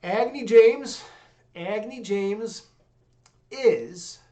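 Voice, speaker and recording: A young man with a fairly high voice, hosting a livestream and chatting loosely with his viewers. He talks in an excitable, rambling way, swears freely and tosses in "dude" and "man" all the time. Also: extremely slow. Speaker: livestream host. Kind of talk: casual livestream chat with viewers.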